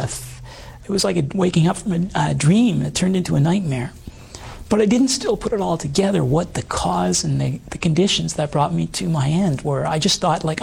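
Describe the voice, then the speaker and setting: Very gravelly, hoarse middle-aged man. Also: high voice, a little mellow. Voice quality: hoarse voice from old vocal damage. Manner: earnest, halting storytelling. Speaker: interview guest, former stage singer. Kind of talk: personal testimony.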